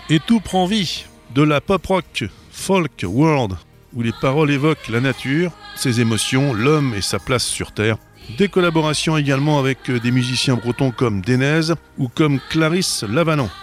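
A man hosting a radio program, introducing a guest and interviewing her in a chatty, conversational way. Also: mid-range voice.

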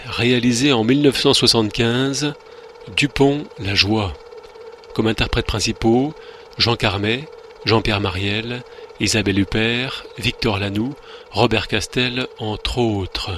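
Low voiced guy reading a film review, measured, slight hiss behind. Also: a bit bright. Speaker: film critic host. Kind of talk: scripted radio film review.

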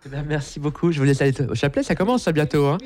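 A man's voice: fairly high pitch, expressive, racing, darkish tone. A man interviewing radio volunteers, asking friendly, familiar questions. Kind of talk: vox-pop interviews.

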